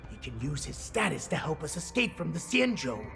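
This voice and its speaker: An actor playing a servile, scheming beast henchman in a video game, raspy, sly and fawning with a vicious edge. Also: fairly high pitch, big pitch swings, fairly bright tone, heavily acted. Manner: obsequious, sly, drawn-out. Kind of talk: dramatic video game villain acting. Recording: music behind voice.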